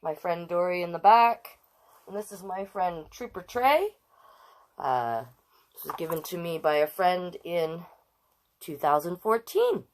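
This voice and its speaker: A woman's voice: lowish voice, animated, a little slow. A woman hosting a children's storytime, chatting casually with viewers and reading aloud in an exclaiming, direct way. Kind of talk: casual livestream chat and read-aloud to children.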